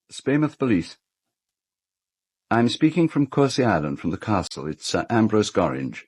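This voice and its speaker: An actor playing a fussy, courteous middle-aged English gentleman in a radio drama, speaking formally and hesitantly. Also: lowish voice, husky, exaggerated voice. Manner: hesitant, formal, well-spoken. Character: fussy, courteous gentleman host of an island castle. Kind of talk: British radio drama acting.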